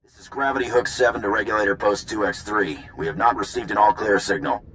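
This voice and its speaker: An actor playing a mercenary outpost operator in a video game, reporting in military radio manner. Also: male voice, on the low side, quick pace, a bit bright, slight background noise, lightly acted, poor audio. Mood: stern. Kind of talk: video game cutscene acting.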